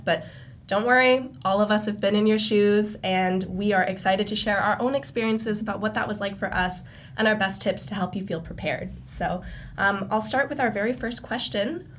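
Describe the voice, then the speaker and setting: A woman's voice: medium pitch, slight background noise. A young woman from the USA hosting a live student panel, upbeat and welcoming. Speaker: host.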